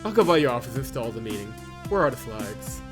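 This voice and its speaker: A man's voice: medium pitch, very animated, fast talker, natural voice. A man voicing a courteous, confident young billionaire boss in a visual novel, polite and formal. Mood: reassuring. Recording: loud game music behind voice.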